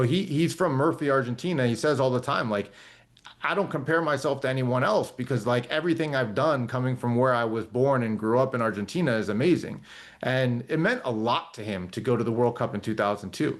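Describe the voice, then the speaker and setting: Rambling male soccer reporter. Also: medium pitch. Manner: thoughtful, rambling with many restarts. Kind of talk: casual talk show chat.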